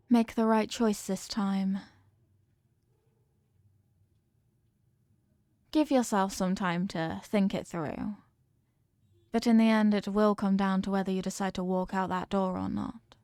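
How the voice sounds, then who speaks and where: A woman's voice: mid-range voice, fairly even intonation, unhurried, a little mellow, no echo, clean studio sound, lightly acted. A woman voicing an obsessively loving evil queen in a roleplay audio drama, speaking formally and measuredly with imperious, veiled menace. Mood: quiet menace. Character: possessive yandere evil queen, regal, obsessively in love. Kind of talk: scripted roleplay monologue, ASMR audio drama.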